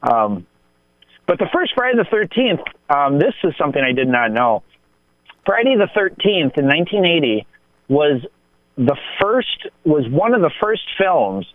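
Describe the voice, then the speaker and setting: A man's voice: fairly high pitch, dry recording, phone-quality sound. An American man telling rambling, digressive stories in casual film talk, as a guest on a radio show. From United States.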